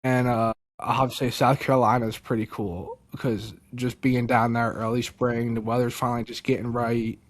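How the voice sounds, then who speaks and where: Young guy from delaware telling a hunting story, lots of like and dude, mid pitch. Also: normal speed, few pauses. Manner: animated, many fillers like "like" and "dude". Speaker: podcast guest. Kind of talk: casual storytelling.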